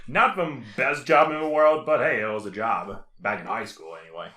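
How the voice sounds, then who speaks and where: A man's voice: mid-range voice, normal speed, bright, forceful tone. A man in his early twenties, a podcast co-host who is easygoing and laughs often in casual chat. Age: 20s. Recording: echoey room, faint noise.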